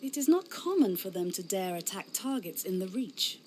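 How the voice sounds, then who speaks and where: A woman's voice: mid-range voice, very animated, normal speed, slight background noise, close to an everyday voice. A woman voicing a young, zealous female inquisitor in a game cutscene, speaking in an earnest, formal and fervent way. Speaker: narrator and game character.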